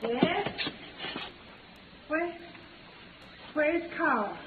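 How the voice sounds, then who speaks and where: A frightened young backwoods woman in an old radio drama. Her voice is a little high and rings with long reverb, and she speaks hesitantly in simple country grammar over faint hiss. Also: expressive, extremely slow, phone-quality sound, full character voice. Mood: anxious. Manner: plain rustic grammar, hesitant. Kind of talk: 1950s radio drama acting.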